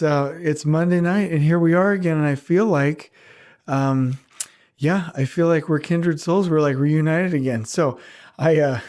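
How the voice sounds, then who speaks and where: A man's voice: on the high side, darkish tone. A man hosting his own podcast alone from a small backyard shed, talking in a giddy, rambling way and laughing often, his voice just recovered. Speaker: podcast host. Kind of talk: casual solo podcast talk.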